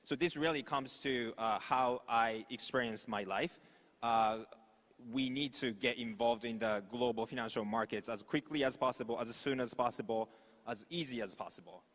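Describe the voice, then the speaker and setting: A man from Japan with a Japanese accent, a native Japanese speaker, giving an informal, halting conference presentation. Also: mid-range voice, a bit bright. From Osaka, Japan. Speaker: startup executive, conference speaker. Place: large conference hall stage. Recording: echoey hall with long reverb.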